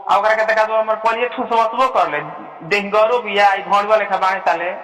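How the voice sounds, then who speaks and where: Male, Bhojpuri accent, high voice, blunt gossipy phone chat, long reverb on the recording. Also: fairly even intonation, normal speed, pushed and bright. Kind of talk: informal phone conversation. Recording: echoey, reverberant leaked call recording.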